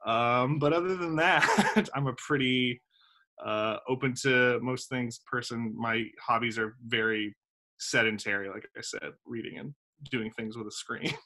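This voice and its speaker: A man's voice: mid-range voice, steady tone, a little slow, pushed and bright. A man in his thirties from South Carolina, a theater director chatting casually in a video-call interview, with frequent ums and a self-deprecating manner. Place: home room with windows. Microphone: video call laptop mic.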